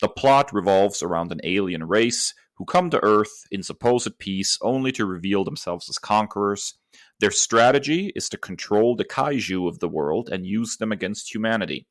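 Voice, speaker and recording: A man's voice: lowish voice, expressive, normal speed, dry recording, studio quality. A man co-hosting a podcast, giving emphatic, opinionated and enthusiastic movie commentary. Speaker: podcast co-host. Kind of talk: enthusiastic movie review talk.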